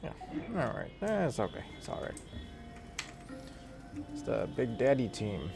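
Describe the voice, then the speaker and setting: Very animated, creaky male gamer. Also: mid-range voice, measured pace, over music. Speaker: game streamer. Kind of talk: casual gameplay commentary.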